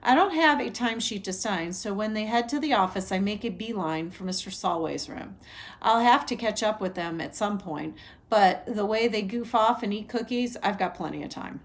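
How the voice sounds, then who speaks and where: A woman reading a novel aloud like a teacher, narrating for young listeners in an animated way and acting out the dialogue. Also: medium pitch, normal speed. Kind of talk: expressive children's read-aloud narration.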